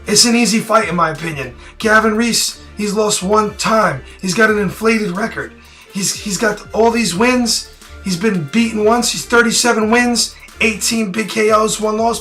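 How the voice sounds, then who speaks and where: A man with a raspy, hoarse voice, worn out from yelling, talking straight to camera in a blunt, rambling and opinionated way. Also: high-pitched. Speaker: boxing prediction vlogger. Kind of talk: casual direct-to-camera talk.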